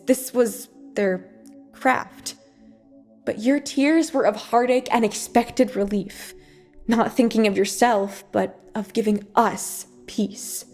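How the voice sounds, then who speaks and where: Female spoken-word reader for a church monologue: measured, dramatic phrasing, medium pitch, music underneath. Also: gravelly, darkish tone. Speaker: church worship speaker. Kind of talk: scripted spoken-word monologue, rhymed devotional poetry. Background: music behind the voice.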